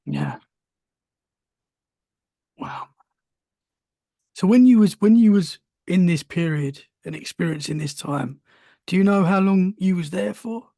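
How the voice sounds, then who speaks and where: A man's voice: sits high, slow pace, vocal fry, long pauses, warm tone, no echo, studio quality. A man hosting a podcast, asking casual questions and reacting with short remarks. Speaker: podcast host. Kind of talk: casual interview talk.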